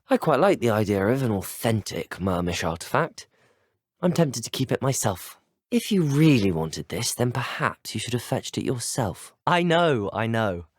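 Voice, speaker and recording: An actor with a British accent playing a polite, earnest, curious teenage wizard student in a video game, speaking in a well-spoken, measured manner. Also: male voice, mid-range voice, husky, no echo, studio quality. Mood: polite, inquisitive. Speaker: video game player character. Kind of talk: scripted game voice acting.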